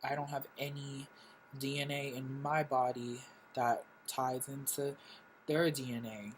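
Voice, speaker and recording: A man's voice: mid-range voice, flat delivery, unhurried, slight background noise, low-quality recording. A young man born in Korea, telling his life story casually in a reflective vlog, full of fillers. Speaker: vlogger.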